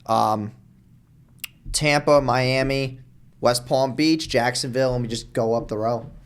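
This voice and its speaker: Fast-talking casual young man. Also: mid-range voice, steady tone, clear, resonant tone. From New York. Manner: fast, casual, with frequent "dude" and "like". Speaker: guest, marketer. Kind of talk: casual podcast conversation.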